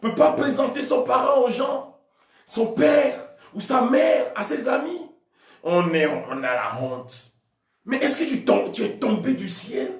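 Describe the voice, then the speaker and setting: A man from Togo, preaching a charismatic sermon as a priest and often shouting with drawn-out emphatic phrases. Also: extremely high pitch, big pitch swings. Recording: echoey room, voice from a distance.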